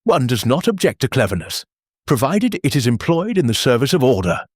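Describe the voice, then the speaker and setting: A man voicing a courteous, formal Belgian gentleman detective in an audiobook. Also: medium pitch, sing-song, fairly quick, breathy edge, no room sound, clean studio sound. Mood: polite, composed. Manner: formal, philosophical.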